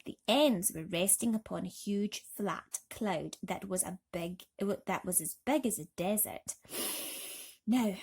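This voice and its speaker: A woman's voice: medium pitch, measured pace, few pauses, darkish tone, husky. A woman narrating a children's bedtime story expressively.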